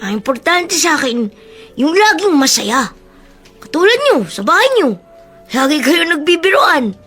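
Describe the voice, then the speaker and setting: A woman's voice: sits high, big pitch swings, measured pace, a bit bright, soft background music, heavily acted. A woman with a Filipino accent playing a cheeky, tender-hearted young street boy in a radio drama, speaking in an earnest, childlike way. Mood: wistful, sincere.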